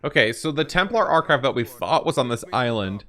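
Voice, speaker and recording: Animated man giving casual reaction commentary. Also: mid-range voice, fairly quick. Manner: informal, questioning. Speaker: gaming reaction host.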